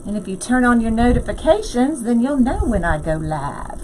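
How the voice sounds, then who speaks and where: A woman's voice: on the high side, big pitch swings, moderate pace, darkish tone, a little hiss or hum, rough recording. A woman hosting a casual live crafting tutorial, chatty and laughing often as she works and reads viewer comments. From USA. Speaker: craft livestream host.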